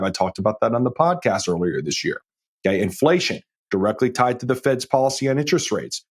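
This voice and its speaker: A man's voice: lowish voice, breathy edge. An American man hosting a solo financial podcast, talking emphatically and often checking in with his listeners. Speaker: podcast host.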